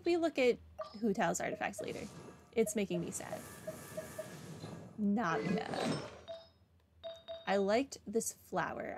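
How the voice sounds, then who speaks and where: A woman's voice: medium pitch, noisy background. A woman giving casual playthrough commentary as a game streamer, with dry, muttered asides. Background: game music.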